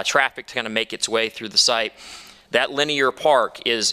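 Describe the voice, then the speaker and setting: A man's voice: fairly low pitch, bright, forceful tone, clean tone. A man speaking as an attorney for a developer at a town council hearing, upbeat and persuasive, with some fillers and restarts. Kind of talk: formal presentation.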